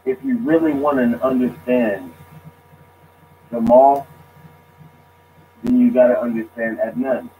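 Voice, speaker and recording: A man speaking casually as a guest, halting and restarting his sentences. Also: mid-range voice, dark tone. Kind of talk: casual discussion. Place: lives abroad. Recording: tinny phone line with faint noise.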